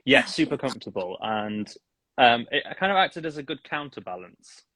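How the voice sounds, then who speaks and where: A man's voice: medium pitch, sing-song, normal speed, pushed and bright, no echo, rough recording. A man, a singing teacher, chatting casually as a podcast guest, laughing often and poking fun at himself. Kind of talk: casual interview chat.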